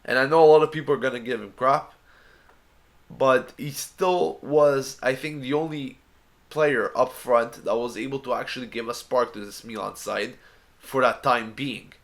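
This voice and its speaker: This is a man talking through a head cold, a bit congested, as he hosts a fan channel's match review. He delivers his opinions with earnest conviction, speaking to his viewers directly. His pitch sits in an ordinary middle range for a man. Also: normal speed. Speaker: football fan channel host.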